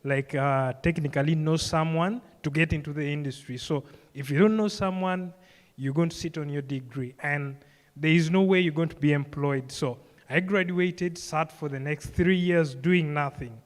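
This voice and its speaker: A man's voice: a little high, moderate pace. Ugandan man speaking in a reverberant hall. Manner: conversational storytelling with frequent "you know" and "like". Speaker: programme graduate giving a speech. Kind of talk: informal personal speech to an audience. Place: event hall, live on stage.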